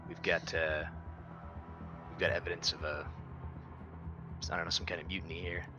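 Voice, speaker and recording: Deep-voiced man playing a tabletop game. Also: little pitch movement, moderate pace, highs cut off, over music. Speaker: player in a tabletop roleplaying game. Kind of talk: casual tabletop game chat.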